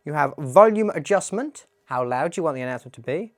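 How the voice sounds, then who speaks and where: A man's voice: on the high side, expressive, fast talker. A man presenting a tech tutorial, explaining a demo in a plain-spoken, step-by-step way.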